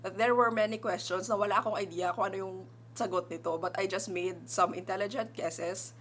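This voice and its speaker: A woman's voice: medium pitch, fairly even intonation, a little fast, a bit bright, a little hiss or hum. A young adult woman from the Philippines with an Ilonggo accent, explaining board exam strategies in a casual vlog and mixing English with Tagalog. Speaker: librarian giving exam tips.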